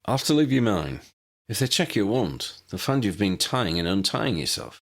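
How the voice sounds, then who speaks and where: A man's voice: lowish voice, very animated, moderate pace, gravelly, full character voice. A man voicing a bold, practical, cocky young man in an audiobook story. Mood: reassuring.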